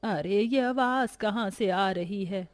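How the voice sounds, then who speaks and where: Woman acting a slow, kind old grandmother. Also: medium pitch, close to an everyday voice. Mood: tender. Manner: gentle, drawn-out, tender. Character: poor, kind-hearted old village grandmother selling vegetables. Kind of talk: cartoon story character voice.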